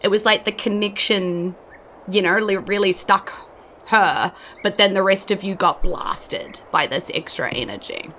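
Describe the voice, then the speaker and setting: A woman's voice: medium pitch, measured pace, a bit bright, a little hiss or hum. A woman, narrating a tabletop game off the cuff as game master. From New Zealand.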